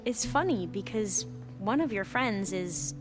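An actress playing an excitable young woman scholar in a video game, earnest and gushing, rambling as she goes. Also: mid-range voice, close to an everyday voice. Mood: friendly, slightly awkward. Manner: gushing, halting and self-correcting when flustered. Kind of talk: video game dialogue acting. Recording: loud music behind the voice. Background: music.

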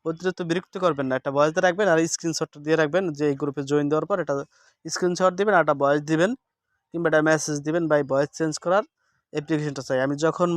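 A man talks through a casual how-to in a fairly high, very smooth voice. He is instructive and often repeats each step. The sound is dry and close, with little room echo. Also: fairly even intonation, racing. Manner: instructive, repeats steps and seeks confirmation. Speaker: tech tips host. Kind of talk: casual screen-recording tutorial.

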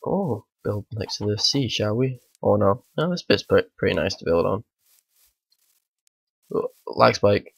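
Guy with a cold, low gravelly voice, mumbly let's play chatter. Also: expressive, unhurried, no room sound. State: hoarse with a bad cold. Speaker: gaming channel host. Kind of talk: casual let's play commentary.

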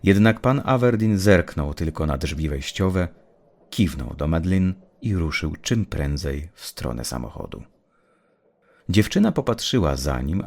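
Man, audiobook narrator, very low voice, creaky gravelly tone, dark fiction narration. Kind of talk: scripted narration of a dark vampire story.

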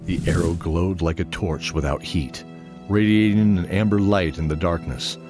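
A man's voice: low voice, normal speed, a little mellow. A man giving scripted narration for a fantasy audio drama. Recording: music under the voice. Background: music.

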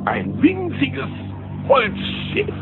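An actor playing a scheming villain mastermind in a cartoon audio drama, speaking dramatically and peppering in Spanish words. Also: male voice, very high voice, wildly swooping pitch, very slow, phone-quality sound, heavily acted. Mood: dramatic, secretive. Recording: band-limited old cassette audio, loud music.

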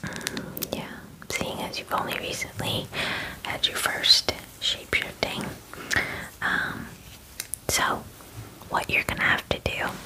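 A woman in her twenties voicing a gentle, attentive werewolf spa groomer in a soothing ASMR roleplay, whispering breathily and softly repeating words with hesitant pauses. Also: natural voice, low-quality recording. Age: 20s. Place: small dim indoor room. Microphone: close microphone, facing camera.

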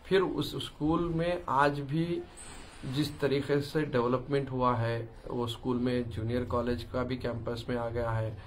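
A man in his forties with a Deccani Urdu accent, giving a halting public message mixed with English phrases. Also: medium pitch, level tone. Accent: Deccani Urdu of southern Andhra Pradesh. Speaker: local politician. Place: small crowded office room indoors. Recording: room noise in background.

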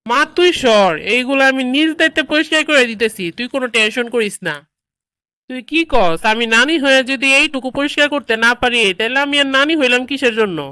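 A woman's voice: high voice, fast talker, pushed and bright, smooth, no room sound. A woman voicing a bossy, fussing grandmother in a comedy cartoon, with a Bangladeshi accent and a commanding, insistent manner. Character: bossy, fussing grandmother, doting mother-in-law. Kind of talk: comedy cartoon dialogue in colloquial dialect.

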